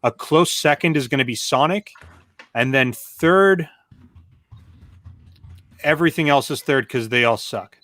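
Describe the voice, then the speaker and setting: A man's voice: medium pitch, unhurried, pauses often, bright, forceful tone, no room sound. A man on a panel chatting casually and giving his opinions in a conversational way.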